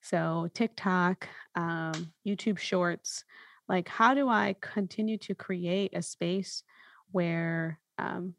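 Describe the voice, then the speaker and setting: A woman's voice: fairly low pitch, slow pace, vocal fry, dry recording. A woman hosting a podcast, talking casually and reflectively, thinking through her points with lists and examples. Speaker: podcast host.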